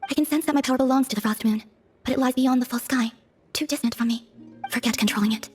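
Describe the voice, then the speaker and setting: Enigmatic young goddess, lightly high voice, soft, aloof, formal wording, video game cutscene, faint music. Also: female voice, steady tone, breathy edge. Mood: solemn. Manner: measured formal phrasing, soft, detached.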